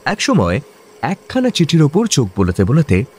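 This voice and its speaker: A man's voice: a little high, wildly swooping pitch, normal speed, a little mellow, a little hiss or hum. A man narrating a scripted comedy radio play as a dramatic storyteller.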